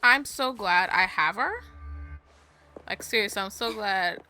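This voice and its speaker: A woman giving casual, expressive game commentary and reading dialogue aloud as she plays. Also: mid-range voice, a little slow, slight background noise. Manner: expressive, reactive. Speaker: gaming streamer.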